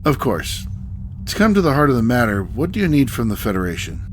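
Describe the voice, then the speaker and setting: A man's voice: mid-range voice, sing-song, brisk, heavy background noise, natural voice. A man playing a stern, diplomatic starship captain in a sci-fi audio drama, speaking formally and with authority.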